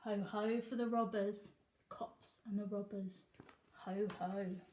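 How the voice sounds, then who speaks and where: A woman's voice: mid-range voice, steady tone, slow, dark tone, slight background noise. A woman reading a picture book expressively to children, emphatic and sing-song on rhymes.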